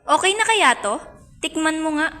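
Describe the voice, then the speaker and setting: A woman's voice: sits high, lively intonation, moderate pace, pushed and bright. A young woman playing a bubbly friend who rallies her group in an amateur radio drama. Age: young adult.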